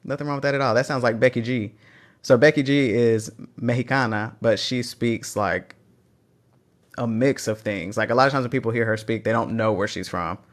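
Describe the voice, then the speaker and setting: A man's voice: mid-range voice, steady tone, normal speed. A man with a Texan accent hosting a live phone stream, reading out chat questions and encouraging language learners. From Texas. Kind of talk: casual livestream Q&A.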